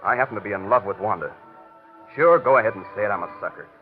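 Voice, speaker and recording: Man acting jealous hothead wise guy, talks quick, old radio drama, muffled w faint music. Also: fairly low pitch, lightly acted. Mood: jealous anger. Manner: sneering, slangy wise guy. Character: jealous hot-headed tough-talking man in love.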